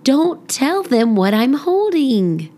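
A woman's voice: sits high, very animated, slow pace, mellow, pitched above the performer's own voice, exaggerated voice. Lady reading as a kids book mom, affectionate but a little bossy, lilting voice. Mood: calling out. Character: brisk, affectionate mother of a little girl.

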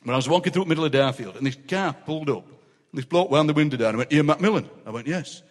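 An older man with a Yorkshire accent, a guest poet telling anecdotes on a stage with quick comic impressions and frequent laughter. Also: on the high side, animated. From South Yorkshire, England. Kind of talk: live stage chat, storytelling. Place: large city hall on stage. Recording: long reverb of a large hall.

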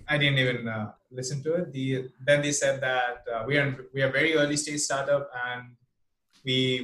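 A man from India hosting an interview, telling a story in a lively, chatty way. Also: mid-range voice. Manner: animated storytelling, quoting others. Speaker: interview host. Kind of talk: casual interview chat. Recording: echoey room.

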